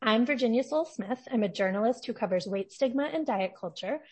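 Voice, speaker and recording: A woman in her forties, a journalist hosting an interview podcast in a calm, lowered radio voice. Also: fairly quick, slightly rough. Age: 40s.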